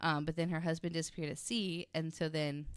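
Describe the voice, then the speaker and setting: A woman's voice: low-pitched, flat delivery, fairly quick, clean tone, no room sound, clean studio sound. A woman podcast host telling a story in a casual, conversational way, with wry, informal asides.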